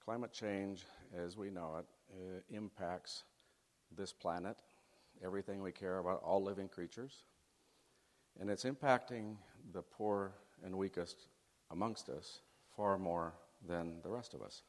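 A man's voice: sits low, measured pace. A middle-aged man raised in Chile giving a folksy, faith-based talk to a student audience. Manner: folksy, leads the audience through an exercise. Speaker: guest speaker. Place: large hall. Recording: long hall reverb.

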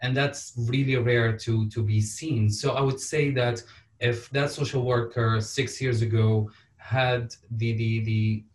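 A man's voice: medium pitch, recorded from a distance. A man from Syria, a panelist answering questions earnestly with wry asides. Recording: roomy sound from off mic.

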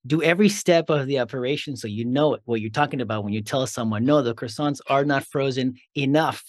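A man's voice: medium pitch, brisk, dry recording, studio quality. A man hosting an interview podcast in a conversational style. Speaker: podcast host.

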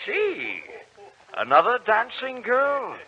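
An actor playing a cynical, mocking courtier in an old radio drama, speaking with sardonic contempt. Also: male voice, very high-pitched, wildly swooping pitch, slow pace, full character voice. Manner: sardonic, sneering. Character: bitter, mocking male courtier. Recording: dull old radio recording.

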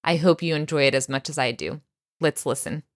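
A woman's voice: low voice, brisk. A woman hosting a podcast, reading an upbeat introduction and then chatting and laughing easily with her guest. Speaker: podcast host. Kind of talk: scripted intro then casual interview.

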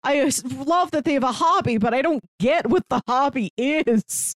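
A woman's voice: high voice, moderate pace, dry recording. A woman co-hosting a podcast, chatting casually about movies in articulate, wordy phrases. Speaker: podcast co-host. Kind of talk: casual movie review chat.

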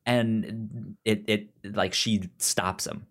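A podcast co-host chatting casually about films and comics, halting and often stammering over words. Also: mid-range voice, fairly even intonation. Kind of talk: casual film and comic discussion.

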